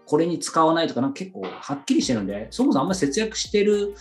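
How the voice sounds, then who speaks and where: A man hosts a casual podcast conversation, explaining his thinking in loose, rambling runs. His pitch swings widely and he talks quickly. Music plays underneath his voice. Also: big pitch swings. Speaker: podcast interviewer and host. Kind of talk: casual chat. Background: background music.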